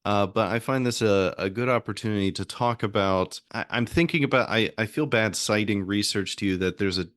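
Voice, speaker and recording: An American man with a deep voice hosting a conversational interview, talking in a rambling, hesitant way and often correcting himself. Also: a little fast, few pauses, fairly bright tone, dry recording, studio quality. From southern United States. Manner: extroverted. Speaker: podcast host, music theorist.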